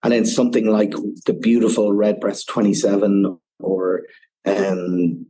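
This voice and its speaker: Low, husky Irish man explaining. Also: little pitch movement, unhurried, mellow, low-quality recording. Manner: explains at length, peppered with "you know". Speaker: whiskey ambassador guest. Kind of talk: casual podcast interview.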